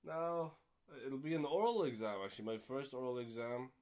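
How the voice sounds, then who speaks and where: A man's voice: medium pitch, moderate pace, a little mellow. Rambling young male podcast host. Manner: rambling, swears casually, many fillers. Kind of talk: casual solo podcast chat.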